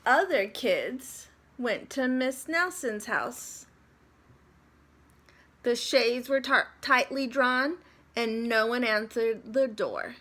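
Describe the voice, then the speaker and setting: A woman with a high voice narrates a picture book for children. Her delivery is very slow and deliberate, setting phrases apart expressively. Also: lively intonation, fairly bright tone. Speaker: storybook reader for children. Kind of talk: expressive scripted story narration.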